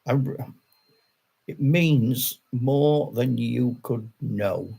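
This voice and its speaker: A man's voice: mid-range voice, drawn-out words, creaky, dark tone, slightly rough. An older man with a Yorkshire accent, chatting to his channel viewers in a rambling, self-deprecating way, with frequent chuckles. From Yorkshire, England. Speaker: wargaming channel host. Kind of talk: casual rambling monologue to camera.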